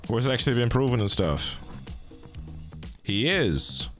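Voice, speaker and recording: A man's voice: mid-range voice, sing-song, normal speed. A man streaming a video game, chatting casually in a rambling, joking way with plenty of fillers. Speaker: gaming streamer. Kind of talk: casual chat over gameplay. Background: game music and sounds.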